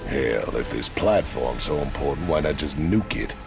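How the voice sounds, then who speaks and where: A hulking outlaw marine from a sci-fi game. His voice is extremely deep and gravelly, drawling in a Southern American accent with brash, sardonic folksiness. Music plays behind him. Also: expressive, moderate pace, full character voice. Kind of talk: video game cinematic acting.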